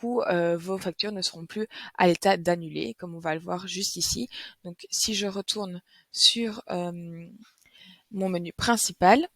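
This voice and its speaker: A woman presenting a software tutorial, explaining step by step in a somewhat hesitant, instructional way. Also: lowish voice, no echo. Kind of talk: screen tutorial, semi-scripted explanation.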